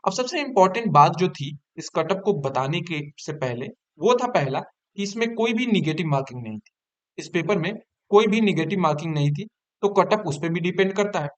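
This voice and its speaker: Higher-pitched man explaining. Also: animated, fairly quick. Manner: repeats figures and key points for emphasis. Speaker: online exam-information presenter. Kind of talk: informal explanatory talk to exam candidates.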